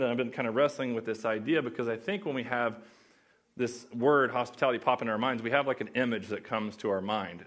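A man's voice: mid-range voice, fairly bright tone. A young man from Oklahoma with an Oklahoma accent, preaching as a guest pastor in a casual, joking way that chats with the audience. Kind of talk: sermon.

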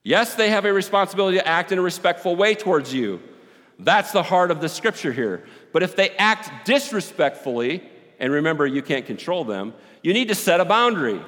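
Big-voiced pastor in a reverberant hall. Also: male voice, high voice, brisk. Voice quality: big loud voice. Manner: animated, joking, with rising and falling volume. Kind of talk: sermon.

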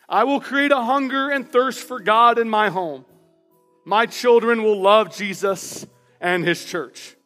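A man preaching as pastor in a church, with a loud, passionate voice, reading personal declarations aloud with emphasis. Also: high voice, big-room sound. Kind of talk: sermon. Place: church sanctuary.

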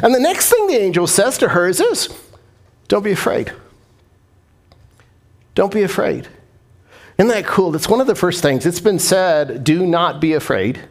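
A man preaching a lively, conversational sermon to his congregation, calling on them to respond. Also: high voice. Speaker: pastor.

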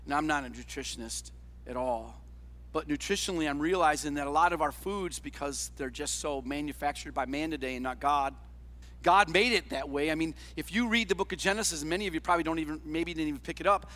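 A man preaching a sermon as a pastor, conversational and emphatic, tossing out rhetorical questions and swinging between raised and hushed delivery. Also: on the high side, fairly quick. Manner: conversational, with rhetorical questions and tags like "all right?". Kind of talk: sermon to a congregation.

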